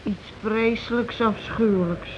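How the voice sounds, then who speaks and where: An actress in a radio play as a shaken working-class housewife who speaks haltingly, repeats herself and falters mid-sentence. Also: female voice, on the high side, close to an everyday voice. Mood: subdued, reproachful. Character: shaken working-class housewife circling around grim news. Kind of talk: naturalistic radio play dialogue. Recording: muffled, noisy old radio broadcast recording.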